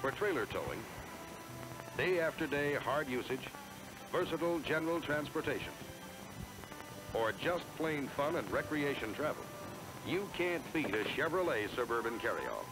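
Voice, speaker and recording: A man's voice: medium pitch, very animated, moderate pace, long pauses, low-quality recording. An American man reading scripted promotional narration in a polished, emphatic salesman style, on a 1970s film soundtrack. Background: music.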